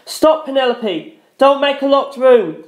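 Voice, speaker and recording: A man's voice: extremely high pitch, expressive, a little slow, voice pushed up. Man acting desperate young hero, raised urgent voice. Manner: urgent, strained exclamations. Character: desperate, panicking young male hero of a horror mystery. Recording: roomy echo.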